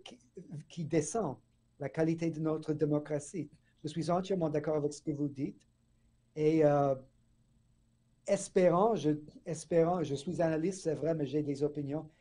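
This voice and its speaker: Earnest professor with a very dark voice. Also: male voice, a little high, husky. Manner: earnest, restarts words. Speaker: political science professor. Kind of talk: radio interview guest.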